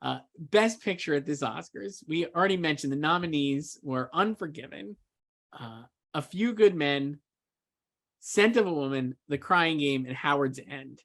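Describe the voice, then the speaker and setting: A man's voice: a little high, expressive, unhurried, creaky, fairly bright tone. A man co-hosting a casual film discussion, talking emphatically and loosely with lots of repeated filler words. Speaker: co-host, film awards editor. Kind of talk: casual chat, film commentary.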